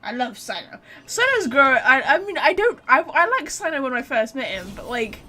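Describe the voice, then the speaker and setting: A woman's voice: sits high, big pitch swings, moderate pace, sharp tone. A woman doing casual let's-play commentary as a gaming streamer, blunt, sweary and joking.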